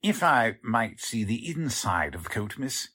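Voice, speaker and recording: Man playing a husky, deferential clerk. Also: mid-range voice, big pitch swings, measured pace, sharp tone, heavily acted. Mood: helpful. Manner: polite, hesitant, deferential. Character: deferential, earnest male warehouse clerk. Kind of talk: 1940s radio drama acting.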